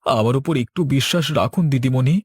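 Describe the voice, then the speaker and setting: A man's voice: mid-range voice, fairly quick, a little mellow, slightly rough, no echo, clean studio sound, lightly acted. A man acting a pleading small businessman in a cartoon, beseeching and deferential. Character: pleading small businessman begging an official for money. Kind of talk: Bengali cartoon dialogue.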